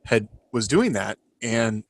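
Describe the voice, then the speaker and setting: A man chats informally as a podcast co-host and fellow author. His voice sits a little low, and the recording is dry with hardly any room sound. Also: very animated, slow pace, no echo. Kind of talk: casual interview chat.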